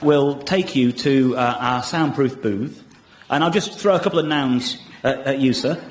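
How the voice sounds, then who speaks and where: A man's voice: mid-range voice, moderate pace. Noisy recording of a male radio presenter. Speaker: comedy panel show presenter. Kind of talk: hosting a radio comedy show.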